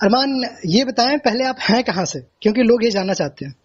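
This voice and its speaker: A man hosting a conversational phone interview, effusive and repeating himself, with a husky, high voice for a man. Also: expressive, a little fast. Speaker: interviewer, radio-style host. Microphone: recorded phone call. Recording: dull, lo-fi phone call recording.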